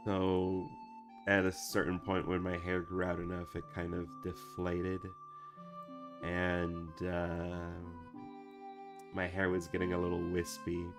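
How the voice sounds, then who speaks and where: A man, an animator and channel producer, chatting casually on a stream and reading comments, rambling with lots of fillers and restarts. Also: low-pitched. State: recovering from a week of sickness.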